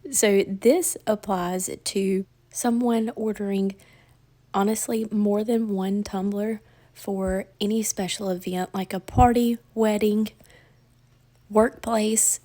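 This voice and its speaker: A woman hosts a casual how-to tutorial. Her voice is soft-edged and mellow, a little crackly because she is under the weather. She explains things in a chatty, down-home way. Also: medium pitch, fairly even intonation, slow pace. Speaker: crafting tutorial host.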